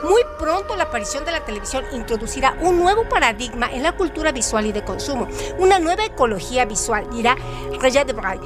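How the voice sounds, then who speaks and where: A woman's voice: sits high, big pitch swings, a little fast, over music. A woman co-hosting a literary radio show, reading scripted cultural trivia and analysis in an articulate, explanatory way.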